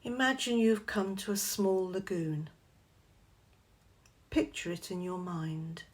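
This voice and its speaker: Female meditation guide, medium pitch, very unhurried scripted delivery, gentle and measured. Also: fairly even intonation.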